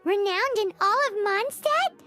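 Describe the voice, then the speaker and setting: A woman voicing an excitable, blunt little childlike sidekick in an anime game, squeaky and exclamatory. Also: a little slow, music in the background. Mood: amazed.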